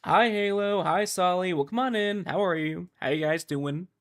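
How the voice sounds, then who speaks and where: A young man livestreaming a game, chatting casually in a rambling, halting way with animated emphasis. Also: high-pitched. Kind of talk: casual livestream chat over gameplay.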